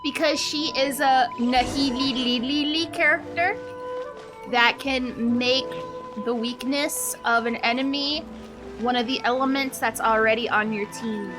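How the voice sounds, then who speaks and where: A woman's voice: high-pitched, a little slow, music in the background. A woman livestreaming a game, chatting casually with viewers in a playful, slangy, giggly manner.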